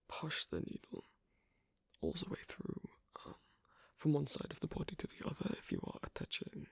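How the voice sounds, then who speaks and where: A performer voicing an asocial werewolf in an ASMR roleplay, hesitant and gently instructive, in a whispery, breathy, creaky voice. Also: low voice, no echo, clean studio sound, heavily acted. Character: asocial werewolf on a first date, patiently teaching sewing.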